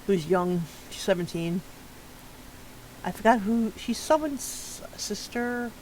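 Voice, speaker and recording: A man's voice: high voice, lively intonation, takes it slowly, darkish tone, slight background noise, low-quality recording. A man streaming a detective game, chatting casually and recapping the story for his viewers with small stumbles. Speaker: gaming streamer. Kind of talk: casual let's-play commentary.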